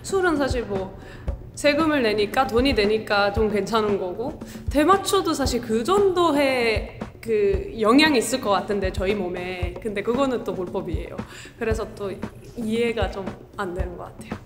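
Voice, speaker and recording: Woman from Italy, guest, rapid and lively, reverb, music bed. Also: mid-range voice. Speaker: interview guest.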